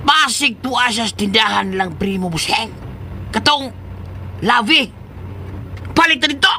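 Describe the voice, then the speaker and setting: An actor with a Cebuano accent playing a complaining, exclamatory comic sidekick in a radio drama. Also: male voice, very high-pitched, animated, measured pace, pushed and bright, heavily acted. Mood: questioning. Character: grumbling comic male sidekick. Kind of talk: Philippine radio drama acting. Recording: faint vehicle noise. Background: car ambience.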